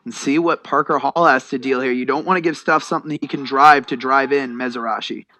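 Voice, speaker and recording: Bright, forceful American color commentator, male. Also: mid-range voice, steady tone, fairly quick. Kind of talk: conversational sports analysis.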